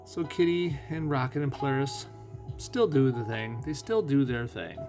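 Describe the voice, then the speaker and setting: A man giving casual game commentary as host of a playthrough, thinking out loud and putting questions to himself. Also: medium pitch, animated, normal speed. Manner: thinks out loud, asking and answering own questions. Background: music.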